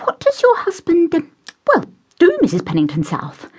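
A woman's voice: extremely high pitch, huge pitch swings, normal speed, darkish tone, pitched above the performer's own voice, full character voice. Woman doing a meek, hesitant young man. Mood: awkward.

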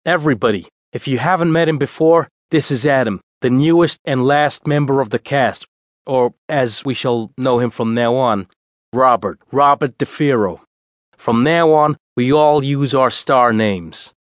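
A man plays a proud male gang boss in an audiobook story. He speaks up and out in a higher register than the narration, rallying and boasting like someone used to giving orders. The recording is dry. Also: measured pace, dry recording, close to an everyday voice. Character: bossy, proud male ringleader of lookalike troupe.

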